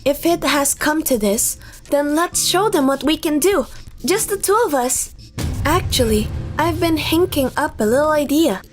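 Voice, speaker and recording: Here is a woman playing a young woman in a romantic comedy manga dub. The voice sits high and delivers scripted, dramatic dialogue. Music runs underneath. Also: animated, unhurried. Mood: supportive, determined. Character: young women in a romcom: breezy cheating girlfriend, indignant loyal twin.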